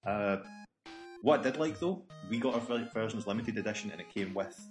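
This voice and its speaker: A man's voice: fairly low pitch, lively intonation, fairly quick. A young man co-hosting a casual gaming podcast, joking and swearing freely. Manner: jokey, swearing, laughs often. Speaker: podcast co-host. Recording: echoey room with faint music.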